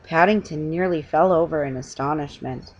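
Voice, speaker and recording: A woman's voice: low voice, normal speed, lo-fi sound. A middle-aged woman reading a children's story aloud informally at home. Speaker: storybook reader. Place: living room at home.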